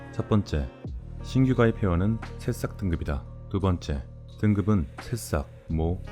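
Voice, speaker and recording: A man performs dramatized horror narration in a deep, dark voice. He speaks deliberately and informally, as if straight to the listener. Music plays behind him. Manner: deliberate, addresses listener directly in plain informal speech.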